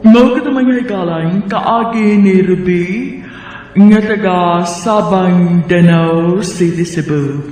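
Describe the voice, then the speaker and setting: Heavily reverberant, slow, high male voice, Cebuano Filipino accent, radio announcer, music underneath. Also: darkish tone. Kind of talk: scripted radio narration. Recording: very echoey, distant, long reverb, music behind.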